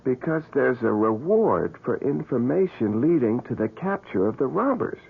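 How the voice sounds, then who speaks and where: An actor playing an eager, excitable young camera enthusiast in an old-time radio drama. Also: male voice, medium pitch, moderate pace, gravelly, breathy edge. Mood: puzzled, insistent. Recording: muffled vintage radio broadcast, faint noise.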